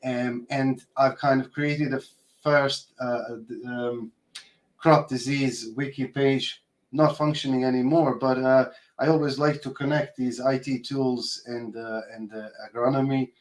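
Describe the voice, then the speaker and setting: A man with a Bosnian accent giving an informal research presentation over a video call, speaking in a hesitant, halting way with many filled pauses. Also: medium pitch, steady tone. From Bosnia and Herzegovina. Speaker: postdoctoral researcher giving a talk. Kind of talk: informal online conference presentation.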